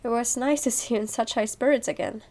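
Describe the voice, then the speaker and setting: Woman acting a higher-voiced caring friend. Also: fast, dark tone. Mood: fond. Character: caring young female friend, encouraging.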